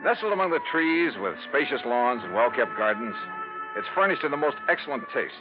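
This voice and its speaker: An American man playing a brisk, wry insurance investigator who narrates his case in 1950s radio drama style. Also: medium pitch, sing-song, lightly acted. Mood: wry narration. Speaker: lead actor and narrator. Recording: muffled 1950s radio broadcast, music behind.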